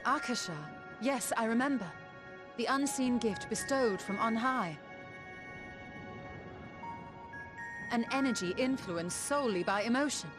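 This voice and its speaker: Composed, solemn fantasy heroine, played by an actress. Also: female voice, on the high side, moderate pace, lightly acted. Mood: thoughtful. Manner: formal, archaic phrasing. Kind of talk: scripted video game voice acting. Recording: background music under the voice.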